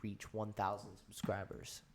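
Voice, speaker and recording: A man hosting a casual podcast chat, excitable and full of fillers. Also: on the low side, unhurried. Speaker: podcast host.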